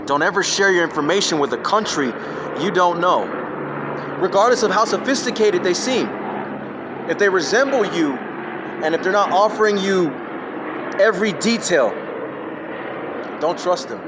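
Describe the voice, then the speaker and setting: High-voiced man on a rambling rant. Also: lively intonation, moderate pace, long pauses, noisy background. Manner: jumps between topics, emphatic and scattered. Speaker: vlogger. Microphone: phone.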